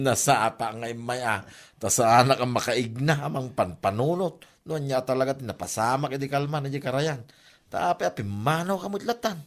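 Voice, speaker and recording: A man's voice: mid-range voice, moderate pace, close to an everyday voice. A man with an Ilocano accent acting a teasing, scolding village buddy in a comedy audio drama. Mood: mocking amusement. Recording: clear background noise, ambience.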